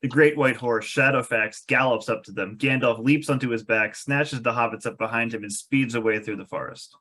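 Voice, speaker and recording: A man's voice: medium pitch, moderate pace, pushed and bright. A man reading stage directions as the narrator of a scripted table read.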